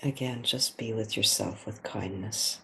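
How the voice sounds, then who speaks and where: A meditation guide speaks very slowly and gently, leaving long pauses between short phrases. The voice is soft and husky with plenty of breath in it, at a medium pitch, leading a guided meditation.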